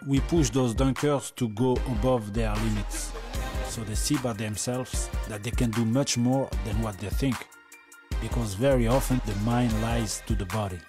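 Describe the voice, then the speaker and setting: A man's voice: medium pitch, moderate pace. A man in his forties with a non-native English accent, a coach giving scripted documentary narration. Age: 40s. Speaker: dunk training camp coach. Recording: music behind the voice. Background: music.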